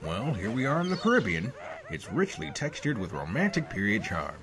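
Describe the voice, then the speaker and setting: A man's voice: medium pitch, wildly swooping pitch, moderate pace, far from the mic. A man playing a wry, wordy, unflappable dog detective in a comic dub, with music behind.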